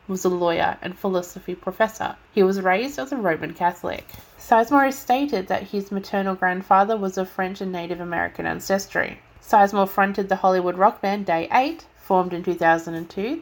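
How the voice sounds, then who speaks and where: A woman's voice: medium pitch, rough recording. A woman podcast host with a stuffy nose reads a scripted rundown and sometimes stumbles over names. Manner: careful reading with occasional stumbles over names. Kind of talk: reading a scripted true-crime rundown.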